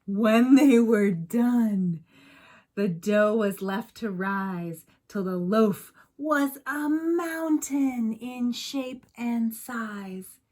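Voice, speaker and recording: A woman's voice: on the high side, lively intonation, extremely slow, darkish tone. A woman reading a picture book aloud like a teacher, expressively, with chatty asides and questions to young listeners.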